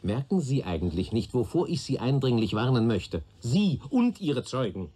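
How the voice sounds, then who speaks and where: A husky, shrewd police detective. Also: male voice, medium pitch, very animated, dark tone. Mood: stern, warning. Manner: probing, deliberate, sardonic, authoritative. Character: shrewd, patient male police detective questioning a young man. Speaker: police investigator. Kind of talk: German radio play dialogue.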